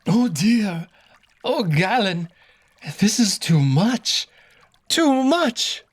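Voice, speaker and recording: Fussy, cowardly male cat courtier, voiced by a man; lively swooping pitch, dry close sound. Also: drawn-out words, breathy edge, no room sound, exaggerated voice. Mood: fretful. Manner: panicky, whiny then haughty.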